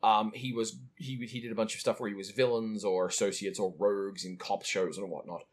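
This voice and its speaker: A man co-hosting a casual podcast about an animated film, talking in a chatty, enthusiastic way. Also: on the low side, a little fast. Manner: chatty, enthusiastic, acts out quotes. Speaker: podcast co-host.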